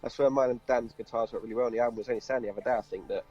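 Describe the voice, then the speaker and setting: A man, a guitarist in a casual interview, speaking in a halting, plain way. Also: medium pitch, steady tone, darkish tone, husky. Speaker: band guitarist being interviewed. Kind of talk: casual chat. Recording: muffled lo-fi, music behind.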